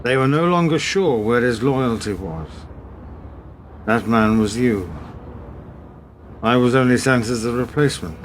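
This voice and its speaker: An actor in a radio play, playing a calm, coldly measured British spy who confronts a traitor colleague in a composed, deliberate way. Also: male voice, mid-range voice, slight background noise, natural voice. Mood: cold, accusing.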